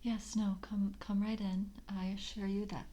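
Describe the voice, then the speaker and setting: A woman voicing a polite, hungry, slyly predatory vampire coven screener in a soft ASMR roleplay, whispering and hesitant, with formal, coaxing words. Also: medium pitch, flat delivery, normal speed, close to an everyday voice. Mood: soothing, welcoming.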